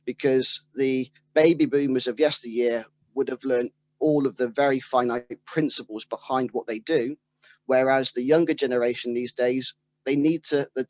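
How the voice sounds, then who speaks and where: A man's voice: mid-range voice, darkish tone, highs cut off. A man speaking as a panelist who is an engineering trainer, explaining earnestly and steadily. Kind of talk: panel discussion.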